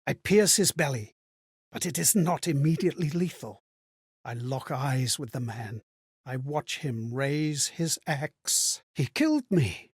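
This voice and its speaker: Amnesiac game spirit, male, husky, lightly high-pitched, melancholy, earnest, measured theatrical diction, dry studio sound. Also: expressive, no echo, full character voice. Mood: shaken. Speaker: video game character. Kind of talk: dramatic scripted game monologue.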